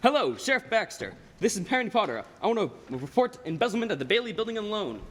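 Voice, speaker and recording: A cold, wealthy old miser from a radio play as acted by a young man, high in pitch and swooping with mockery. He interrogates with deliberate scorn. The voice rings in a big hall. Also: big pitch swings, a bit bright, exaggerated voice. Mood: gloating, threatening. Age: young adult. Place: stage in a school theater. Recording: reverberant hall with background noise.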